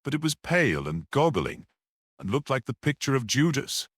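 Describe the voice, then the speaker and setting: A man's voice: mid-range voice, big pitch swings, moderate pace, dry recording, clean studio sound, pitched above the performer's own voice. Nervy, dreamy woman acted out by a man. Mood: uneasy, haunted. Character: pale, nervy, dreamy woman who sees ghosts.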